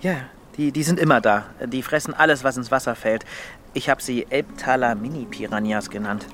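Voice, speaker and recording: Casting: man plays shy, easily flustered male poet for audio drama, medium-pitched, hesitant, self-correcting. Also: moderate pace, a bit bright, faint music behind, natural voice. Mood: curious, sheepish. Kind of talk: audio drama dialogue and letter narration.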